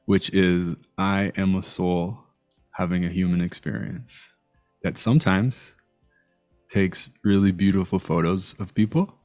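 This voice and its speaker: A man's voice: low-pitched, darkish tone. A man from Iran who grew up in Canada, speaking as an interview guest in a thoughtful, searching way with hesitant phrasing. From Iran, raised in Canada. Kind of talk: reflective conversation.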